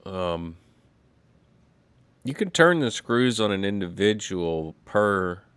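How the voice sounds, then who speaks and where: A man hosting a casual solo livestream, talking in a slow, rambling way with many fillers, a little congested. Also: deep voice, smooth, dry recording. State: congested after illness. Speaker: livestream host.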